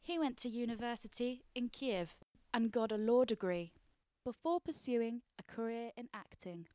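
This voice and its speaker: A woman's voice: on the high side, a little mellow, no echo. Woman reading her essay, dry close sound. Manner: measured, explanatory reading. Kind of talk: scripted narration.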